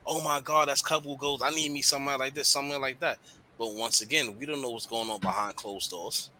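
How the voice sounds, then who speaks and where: Bright-voiced man chatting loosely. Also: medium pitch, quick pace, a little hiss or hum. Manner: animated, swearing, slangy. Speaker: podcast co-host. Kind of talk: casual chat.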